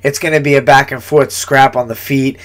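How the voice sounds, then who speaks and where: A man with a stuffed-up, nasal voice talks through upcoming fights in a loose, rambling way, doubling back on his words and cursing casually. His pitch sits in an ordinary middle range for a man. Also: fairly even intonation, moderate pace. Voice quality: congested, nasal, sniffling. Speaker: fighter giving fight picks. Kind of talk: casual fight breakdown monologue.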